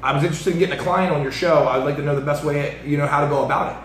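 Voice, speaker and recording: A man in his thirties talking casually as a host, animated and profane, often laughing. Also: on the high side, very fast. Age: 30s. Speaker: podcast host and artist manager. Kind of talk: casual chat. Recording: echoey room with faint noise.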